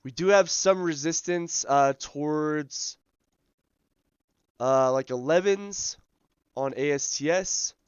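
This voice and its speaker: A man hosting a live day-trading stream, his voice scratchy as he loses it, talking through charts in a relaxed way full of ums and uhs. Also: on the high side, slow, pauses often, clean tone, no echo, highs cut off. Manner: relaxed, filler-heavy, thinking aloud. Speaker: day trading livestream host. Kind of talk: live market commentary.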